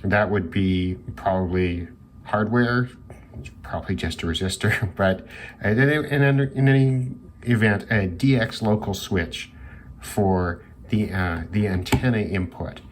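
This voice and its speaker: A man with a low-pitched voice talks through a product review informally. His delivery is measured and thoughtful, with hesitant pauses as he goes. Noticeable noise sits behind his voice. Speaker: radio hobbyist reviewer. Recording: clearly noisy background hiss.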